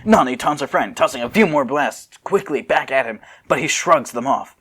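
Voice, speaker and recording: A man reads a story aloud as narrator in an informal, amateur style. His voice is bright and forceful at an average pitch, and he drops into casual, joking asides. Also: animated, normal speed, breathy edge.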